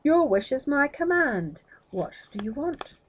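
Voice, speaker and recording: A woman's voice: sits high, very animated, measured pace, a little hiss or hum, voice pushed up. A woman voicing a fierce male genie who declares himself formally in a storybook reading. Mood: commanding. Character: fierce male genie of the ring, booming and servile.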